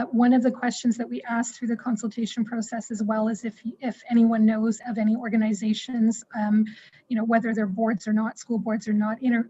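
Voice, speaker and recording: A woman on school board staff explaining a human rights policy in an online meeting, measured and explanatory with frequent fillers. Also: a little high, dark tone, no room sound, lo-fi sound.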